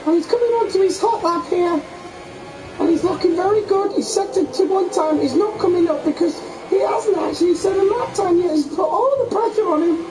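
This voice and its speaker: A man putting on a squeaky race commentator. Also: fairly quick, background noise. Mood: excited. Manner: excited play-by-play commentary. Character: squeaky high-pitched male race commentator.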